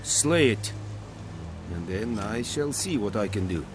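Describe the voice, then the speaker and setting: A stern foreign commander in a video game, formal and measured as he gives orders. His voice is fairly low. Clear music plays behind him. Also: expressive.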